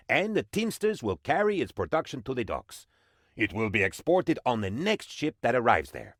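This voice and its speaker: A devoted, fawning male advisor to a tropical strongman in a video game. His medium-pitched voice leaps around with theatrical, cheerful eagerness as he explains things to his leader. The sound is dry and studio-clean, with no room around it. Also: fairly bright tone, no room sound. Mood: eager, instructive. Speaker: tutorial guide in a strategy game.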